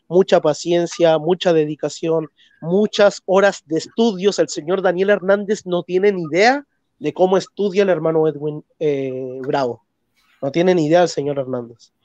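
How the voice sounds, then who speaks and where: A man's voice: a little high, steady tone, moderate pace, darkish tone, no echo. A Chilean man with a Chilean Spanish accent and a congested voice, hosting a live stream and arguing earnestly about theology. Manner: earnest, insistent, repeats phrases. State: congested, clearing throat. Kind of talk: live stream debate talk.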